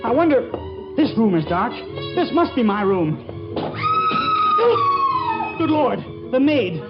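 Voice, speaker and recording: A man's voice: extremely high pitch, very animated, slow pace, heavily acted. An actor playing a nervous, quippy young man forever flustered in a 1940s radio comedy. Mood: rattled. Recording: dull 1940s radio recording, music behind.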